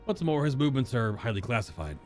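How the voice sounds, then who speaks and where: Male streamer, medium pitch, voicing through on-screen game text aloud, soft music bed. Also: very animated, fast talker. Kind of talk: live game playthrough, reading dialogue aloud with casual asides.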